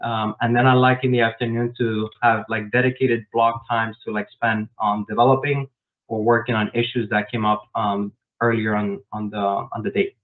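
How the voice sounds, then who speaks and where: A man raised in Miami answering interview questions about his work as a software developer, explaining step by step with frequent "um" fillers, over a video call. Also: lowish voice, flat delivery, moderate pace. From grew up in Miami, Florida. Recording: tinny phone-like online call.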